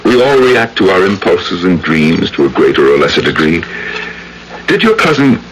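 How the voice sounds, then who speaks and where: An actor playing a courteous, secretive, philosophical gentleman in an old-time radio drama, speaking formally and theatrically. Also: deep voice, sing-song, normal speed. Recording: noisy old radio recording.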